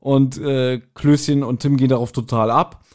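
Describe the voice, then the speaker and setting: A man co-hosting a podcast, chatting casually and retelling stories in a colloquial, somewhat halting way. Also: mid-range voice, fairly even intonation, a little mellow. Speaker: podcast co-host. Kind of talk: casual podcast chat, reviewing audio dramas.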